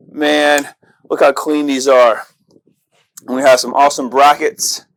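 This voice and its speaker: A man's voice: a little high, no room sound, rough recording. An American man presenting an audio-video installation in a casual, informal walkthrough style, enthusiastic about the gear, speaking outdoors in a backyard.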